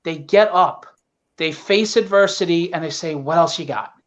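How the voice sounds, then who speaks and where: High-voiced man, earnest and blunt. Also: moderate pace. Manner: earnest, blunt, salty language. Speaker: guest, independent comic writer. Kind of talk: casual, opinionated podcast talk. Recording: dull, lo-fi online call audio.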